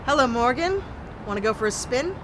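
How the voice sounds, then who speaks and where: Sci-fi audio drama: female ex-detective turned outlaw, guarded, breezily teasing, animated intonation. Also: sits high, fairly quick, noisy background. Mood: breezy. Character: wry, guarded female space criminal and ex-detective. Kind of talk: science fiction audio drama acting.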